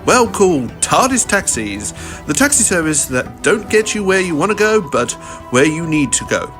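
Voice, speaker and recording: A man's voice: fairly high pitch, sing-song, normal speed, over music. A man reading a comic parody advert as its announcer.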